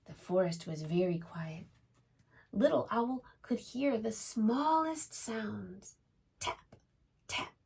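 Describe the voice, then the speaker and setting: A woman reading aloud to young children as a preschool storytime host, expressive and often putting questions to her listeners. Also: mid-range voice, slow, gravelly, breathy edge.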